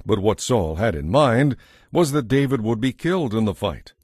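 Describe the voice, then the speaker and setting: A man narrating a dramatized Bible story from a script in a measured, expressive storytelling manner. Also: on the low side, breathy edge, dry recording, clean studio sound.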